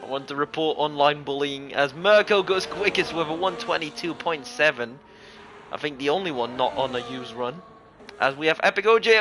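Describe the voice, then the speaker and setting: A man's voice: a little high, sharp tone, a little hiss or hum. A man from Europe giving casual live sim racing commentary as a livestream host, mixing it with jokey, mock-indignant banter.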